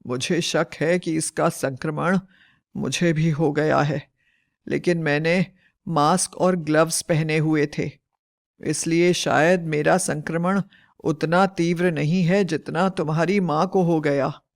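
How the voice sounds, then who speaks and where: A woman puts on a middle-aged doctor father from a storybook, grave and caring. The voice sits lowered and unhurried, with a measured, explaining manner. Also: fairly even intonation. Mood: grave, concerned.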